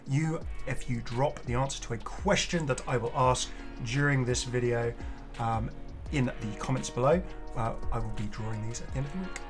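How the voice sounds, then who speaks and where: A man hosting a gaming video, giving casual, chatty and enthusiastic commentary with rambling asides. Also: mid-range voice. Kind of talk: casual game showcase commentary. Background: music.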